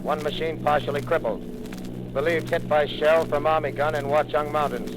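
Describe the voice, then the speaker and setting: A man's voice: fairly high pitch, little pitch movement, normal speed, close to an everyday voice. An actor playing a male army lieutenant leading a bomber squadron, giving terse clipped radio reports in 1930s radio drama style. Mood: clipped, steady. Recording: crackly 1938 radio broadcast.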